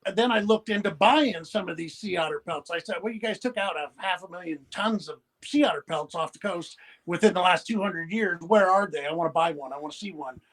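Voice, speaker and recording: High-voiced rambling man from the Pacific Northwest. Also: fairly bright tone, rough recording. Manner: halting, many restarts and fillers, blunt and folksy. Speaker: podcast guest. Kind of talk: rambling conversational storytelling.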